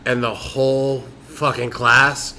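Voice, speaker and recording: Bright-voiced man telling a casual story. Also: mid-range voice, level tone, slow, poor audio. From Florida. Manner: casual storytelling with frequent profanity. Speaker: podcast co-host. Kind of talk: casual chat. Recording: faint background noise.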